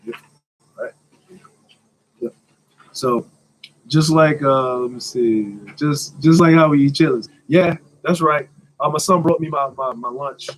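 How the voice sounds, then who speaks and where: A man's voice: a little high, measured pace, long pauses, low-quality recording. An American man in his thirties chatting casually as a live stream host, answering questions in a blunt, slangy, joking manner with some swearing. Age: 30s.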